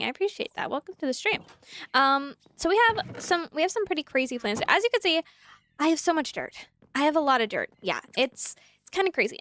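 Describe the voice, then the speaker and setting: High chatty girl streaming, bit stuffy nasal, dry close mic. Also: a bit bright, dry recording. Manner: chatty, rambling, talks to animals and chat. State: slightly nasal from congested sinuses. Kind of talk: casual live gameplay chat. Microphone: desk mic at a computer.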